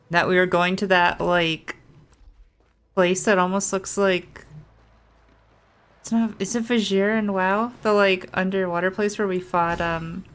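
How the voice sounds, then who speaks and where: A woman's voice: medium pitch, clean tone. A young adult woman giving casual gameplay commentary, rambling and thinking aloud in an informal way. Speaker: gaming streamer. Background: faint game music.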